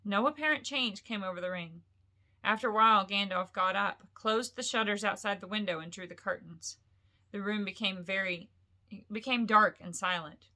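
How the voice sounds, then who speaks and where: Bright-voiced woman reading a story. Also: medium pitch, moderate pace. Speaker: storyteller reading a novel aloud. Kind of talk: expressive bedtime story reading.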